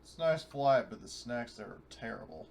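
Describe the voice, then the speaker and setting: A man's voice: medium pitch, animated, moderate pace, vocal fry, darkish tone. Man playing a wry self-styled captain, noisy mic. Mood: wry, breezy. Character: breezy, wisecracking male officer, self-styled captain. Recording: noisy voice chat.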